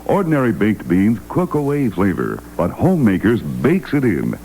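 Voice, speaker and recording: An American man reading a scripted 1960s radio advertising pitch. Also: huge pitch swings, normal speed, darkish tone, slight background noise, rough recording. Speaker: commercial announcer.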